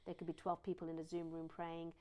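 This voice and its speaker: British-accent lady, fairly low voice, explains her work in tidy lists. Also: completely flat delivery, quick pace, warm tone. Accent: British, with a slight American twang. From Iran. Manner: fluent and explanatory, lists things in series. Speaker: charity CEO, guest. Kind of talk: interview answers.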